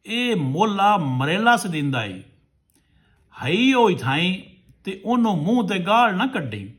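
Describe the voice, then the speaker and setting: A man's voice: high-pitched, big pitch swings, slow pace, fairly bright tone. A man telling a folk tale to listeners as a storyteller, in short rustic phrases.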